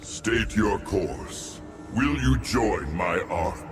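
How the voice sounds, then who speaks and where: Casting: imperious ageless game overlord, lowish pitch, unhurried measured menace, condescending and formal. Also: male voice, lively intonation, heavily acted. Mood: imperious, ominous. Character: ancient otherworldly male ruler, imperious, coldly patient, condescending. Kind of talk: video game cutscene acting. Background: music.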